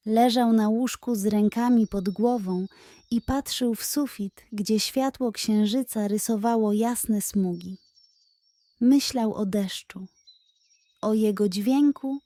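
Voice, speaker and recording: A woman's voice: on the high side, mellow, no echo. A woman narrating a scripted bedtime story for children.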